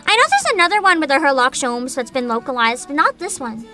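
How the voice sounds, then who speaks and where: Young streamer girl, bright high voice, super bouncy and giggly, casual sweary chat, faint music behind. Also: fast. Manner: excitable, giggly, informal and sweary. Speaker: livestream host, vtuber. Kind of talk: casual livestream chat and reactions. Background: background music.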